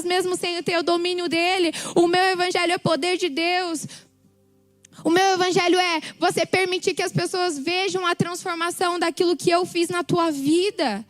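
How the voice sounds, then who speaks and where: A woman preaching a passionate sermon with a Brazilian Portuguese accent, speaking with emphatic repetition and a slightly worn, hoarse voice. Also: very high-pitched. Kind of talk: sermon testimony.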